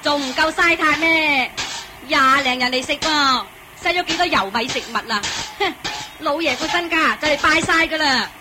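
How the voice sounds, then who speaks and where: An actress playing an old, bossy, penny-pinching female housekeeper in a radio drama, scolding and sharp-tongued. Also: high voice, moderate pace, noisy background, lightly acted. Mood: irritable. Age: older.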